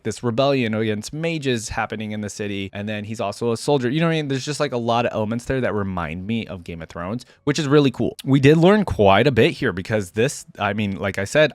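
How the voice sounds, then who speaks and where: A man with an average-pitched voice reads aloud and comments like an online reaction host. His delivery is informal and conversational. The sound is dry, with very little room echo. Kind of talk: reading lore aloud with casual commentary.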